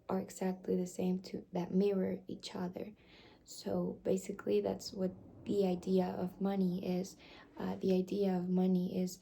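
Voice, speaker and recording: Soft-spoken ASMR woman, low, dark gravelly voice, slips in and out of a whisper. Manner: halting, rambling explanation with many fillers. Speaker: ASMR creator.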